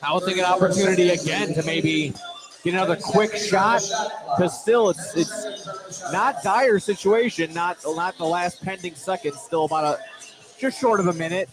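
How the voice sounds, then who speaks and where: High-pitched male play-by-play basketball announcer. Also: steady tone, moderate pace, over music. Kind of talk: live sports radio play-by-play.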